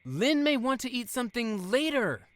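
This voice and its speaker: A woman's voice: fairly high pitch, big pitch swings, normal speed, dry recording. A woman playing an indignant, protective teenage sibling in an audio drama. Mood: annoyed.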